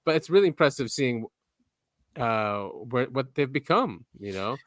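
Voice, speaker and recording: A man co-hosting a podcast in casual chat, relaxed, filling his speech with "yeah" and "um" and letting his sentences drift and trail off. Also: medium pitch, measured pace, dry recording, clean studio sound. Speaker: podcast co-host.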